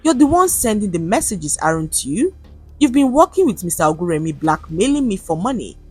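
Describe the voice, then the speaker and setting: A woman's voice: medium pitch, very animated, brisk, slightly rough, faint music behind. A woman with a Nigerian accent voicing a distressed, accusing schoolgirl in a dramatic story. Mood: furious. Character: distressed, angry blackmailed schoolgirl.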